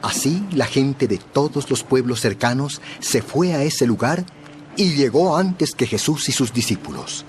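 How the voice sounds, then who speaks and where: A man narrating a dramatized Bible story in a measured, expressive storytelling style. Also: medium pitch, a little hiss or hum.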